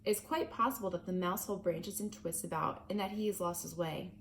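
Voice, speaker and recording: A woman reading as a gentle, worried mother. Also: lowish voice, steady tone, fairly quick, gravelly, slight background noise.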